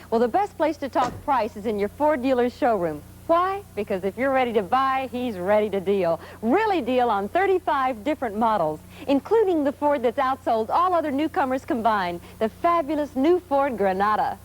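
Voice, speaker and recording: An American woman delivering a 1970s car dealer commercial pitch. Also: very animated, moderate pace, hardly any pauses, low-quality recording. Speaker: commercial spokeswoman.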